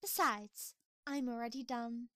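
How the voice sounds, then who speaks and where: A woman's voice: a little high, unhurried, fairly bright tone, dry recording. A woman playing a refined, fussy fashionista pony in an amateur audio drama, with an affected, genteel and dramatic manner. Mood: brisk, fussy.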